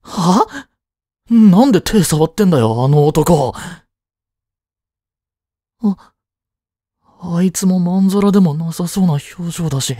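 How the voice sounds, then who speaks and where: Man acting a blunt, jealous high school boy, animated. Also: slow pace, long pauses, no room sound, clean studio sound, full character voice. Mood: jealous, irritated. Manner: blunt, casual, hesitant when flustered. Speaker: voice drama actor. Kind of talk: Japanese audio drama acting for women listeners.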